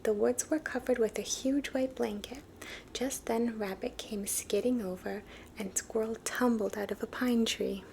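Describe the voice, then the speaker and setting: A woman whispers a children's picture book aloud in an ASMR style, soft and breathy with no full voice. Her pitch sits a little high and she reads very slowly, with a faint ambient hiss behind her. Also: fairly even intonation. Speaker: storybook reader. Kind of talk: ASMR whispered storybook reading.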